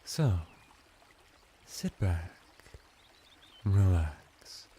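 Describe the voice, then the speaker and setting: A man's voice: low voice, big pitch swings, very slow, warm tone, slight background noise, full character voice. A man playing a flirty, deferential merman bathhouse attendant in an ASMR roleplay, teasing and soothing as he talks.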